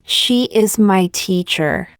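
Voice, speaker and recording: Slow, clear woman reading practice sentences. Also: medium pitch, expressive, no echo, clean studio sound. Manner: slow, careful, each sentence said twice. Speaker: English teacher.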